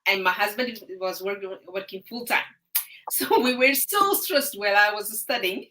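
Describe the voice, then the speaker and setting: A woman in her thirties from Ethiopia, a guest on a live-stream interview over a video call, speaking haltingly with many pauses and fillers. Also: mid-range voice, expressive, pushed and bright, rough recording. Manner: hesitant, halting, frequent uh fillers.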